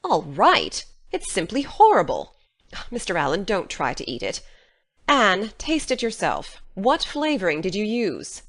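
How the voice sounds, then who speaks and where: Woman as stern older guardian, blunt, practical, dry, animated intonation, audiobook drama. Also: medium pitch, full character voice. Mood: exasperated. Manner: brisk, scolding, dry.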